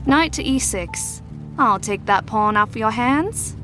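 A woman playing a shrewd, teasing adult mentor to troubled teens in an audio drama, with a measured, knowing, probing delivery. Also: on the high side, lively intonation, music in the background. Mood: knowing, confident.

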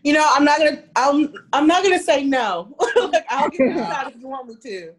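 A woman guest in a casual studio chat, relaxed and quick to laugh. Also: sits high. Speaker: guest employee.

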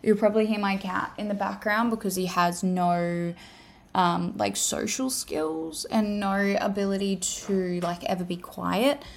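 Sweary Australian woman, gravelly edge. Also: mid-range voice, unhurried. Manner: chatty, swears freely, lists in repeated phrases. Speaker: podcast host and life coach. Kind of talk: casual solo podcast monologue. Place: home room. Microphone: simple home setup.

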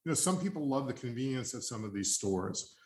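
A man's voice: medium pitch, expressive, a little fast. Earnest older man in an echoey room. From Long Island, New York. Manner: rambling, self-correcting, earnest. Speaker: podcast host. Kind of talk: casual interview chat.

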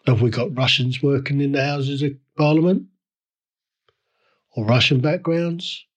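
A man hosting his own podcast, ranting about the news. His voice is a little croaky and husky from a cold. He talks in indignant rhetorical questions and often stops to restart his sentences. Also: mid-range voice, fairly even intonation, unhurried. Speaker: podcast host. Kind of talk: solo opinion rant.

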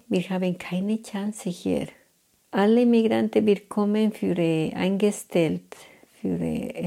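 A woman's voice: medium pitch, creaky, warm tone. A woman from Bolivia speaking hesitantly in learner German about her work. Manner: hesitant, searching for words. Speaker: interviewee. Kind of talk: personal account in learner German.

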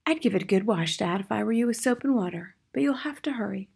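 A woman voicing a calm, clever young girl in a storybook, speaking quietly, politely and matter-of-factly. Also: medium pitch, fairly quick. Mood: calm advice.

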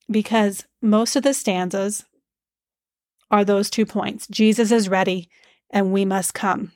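A woman with a stuffed-up nose hosting a devotional hymn podcast, speaking in a gentle, teacherly way with frequent fillers. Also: medium pitch, no echo, studio quality. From Iowa, USA. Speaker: podcast host.

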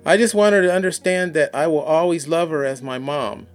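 A man's voice: fairly high pitch, brisk, soft background music, voice pushed up, close to an everyday voice. A man voicing an earnest, pleading young woman in an audiobook story. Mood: pleading, frustrated.

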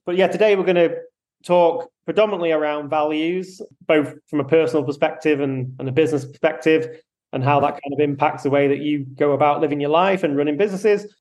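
A man hosting an interview, friendly and a little halting, with frequent restarts. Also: on the high side, steady tone, darkish tone. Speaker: podcast host.

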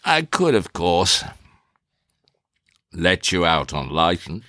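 A man playing a cool, probing interrogator in a theatrical drama, questioning in a formal, deliberate, insinuating way. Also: low voice, big pitch swings, pushed and bright, dry recording, studio quality, heavily acted. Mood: coolly coaxing.

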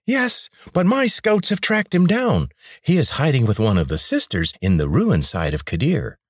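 A man voicing a ruthless male rebel leader with a high, grating voice, in an audiobook. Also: wildly swooping pitch, normal speed, no room sound, clean studio sound. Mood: commanding. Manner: commanding, menacing.

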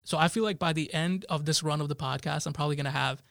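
A man's voice: a little high, quick pace, vocal fry, music in the background. A man in his twenties hosting a podcast, chatting upbeat and casual with a guest. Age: 20s. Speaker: podcast host. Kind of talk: casual interview chat.

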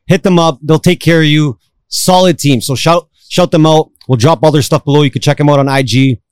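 A man's voice: fairly high pitch, brisk, smooth, no room sound. A man co-hosting a podcast, laid-back and casual, swearing freely and filling gaps with "um" and "all right?". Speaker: podcast co-host. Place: yacht deck outdoors.

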